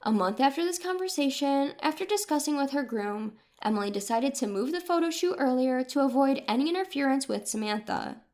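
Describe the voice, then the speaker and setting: A woman's voice: sits high, animated, fairly quick, few pauses, a little mellow. A woman narrator reading a scripted first-person story.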